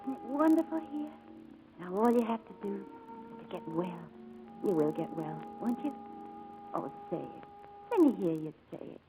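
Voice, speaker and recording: A woman's voice: medium pitch, big pitch swings, moderate pace, long pauses, poor audio. An actress playing a devoted, spirited young woman in a 1940s radio drama, speaking in an earnest, pleading and effusive way. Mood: gently coaxing. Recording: old radio broadcast with music behind.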